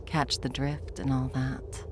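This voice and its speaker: A woman as a very deep-voiced ancient wry sorcerer. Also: flat delivery, slow, background noise. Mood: animated explaining. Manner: theatrical, wry, leisurely lecturing with breathy asides. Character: ancient deathless spellcaster, wry, self-assured, lecturing. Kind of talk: ASMR fantasy roleplay audio drama.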